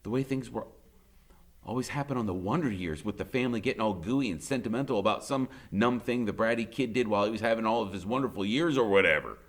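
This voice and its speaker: A man's voice: on the low side, fast. A man narrating a first-person story as an audiobook reader, conversational, wry and dramatic in his delivery.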